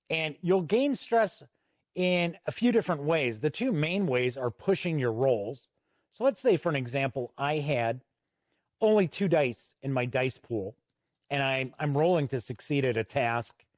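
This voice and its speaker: A man's voice: on the high side, a little mellow, no echo, studio quality. A middle-aged man giving a casual, conversational review commentary that explains game rules.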